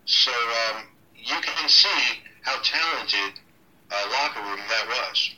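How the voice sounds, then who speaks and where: A middle-aged ring announcer talks through an interview in a loose, unhurried way, stopping and restarting often. His tone is very bright and forward. He sounds set back from the mic, as if over a phone line. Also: mid-range voice, recorded from a distance. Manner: relaxed, halting, many ums and restarts. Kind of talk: casual interview over a call.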